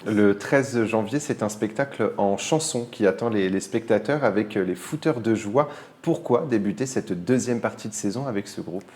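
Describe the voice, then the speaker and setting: A man's voice: on the low side, moderate pace, darkish tone. A man hosting a radio magazine, introducing items and putting prepared questions to a guest. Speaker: radio host, interviewer.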